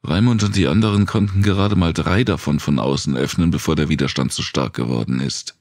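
A man's voice: a bass voice, a little fast, darkish tone, dry recording, clean studio sound. A man voicing a weary, blunt, sardonic wounded woman survivor in an audiobook. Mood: blunt, bitter. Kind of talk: audiobook character dialogue.

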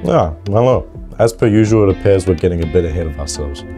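A man's voice: deep voice, lively intonation, fast, over loud music. A man reading scripted narration of a game roleplay story, in a wry, wordy storytelling way. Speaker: narrator and video creator.

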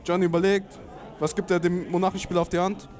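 A man's voice: high-pitched, level tone, fast, darkish tone, a faint crowd. A young man, commentating a card game tournament in a laid-back, slangy way.

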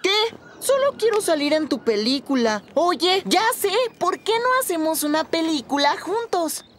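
Niño travieso, voz agudísima. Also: female voice, extremely high pitch, sing-song, a little slow, noisy background, heavily acted. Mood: eager. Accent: Latin American Spanish. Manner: boastful, eager. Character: cocky, attention-seeking mischievous little boy. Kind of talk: animated children's series dub. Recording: loud music behind the voice.

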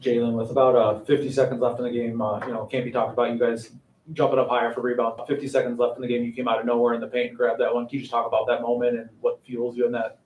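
A man, a sports reporter asking questions at a press conference in a halting way, with many fillers. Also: mid-range voice, little pitch movement, darkish tone, echoey room, rough recording.

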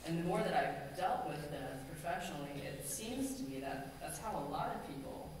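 A middle-aged woman minister preaching a sermon from a pulpit, measured and earnest, slowing down to stress key phrases. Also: low voice, animated, a little mellow. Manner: measured, earnest, slows for emphasis. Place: large church sanctuary, at a pulpit. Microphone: pulpit gooseneck mic, far camera. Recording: echoey hall from far off, ambient noise.

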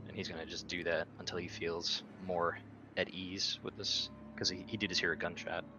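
Male game player, low voice, casual talk, dull recording with clear noise behind. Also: fairly even intonation, moderate pace.

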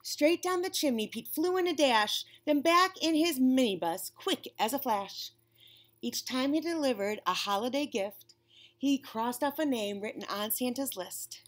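A woman with a high-pitched voice reads to young children. She tells it expressively and deliberately, like a classroom storyteller, stretching phrases out. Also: animated. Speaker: children's storybook reader, teacher. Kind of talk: read-aloud for young children. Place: classroom, indoors.

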